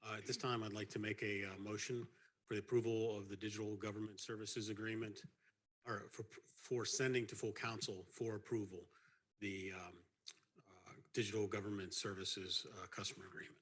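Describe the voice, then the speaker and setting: A male council member speaks in a husky, somewhat low voice that is starting to go. He makes his motions haltingly and pauses to clear his throat. He sounds formal and deliberate. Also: flat delivery, fairly bright tone. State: losing his voice. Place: city hall meeting chamber.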